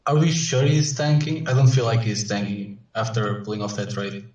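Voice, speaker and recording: A man's voice: fairly low pitch, flat delivery, moderate pace, a little mellow, echoey room, far from the mic, muffled recording, slight background noise. A man chatting casually and a little wryly as a panellist on a sports podcast.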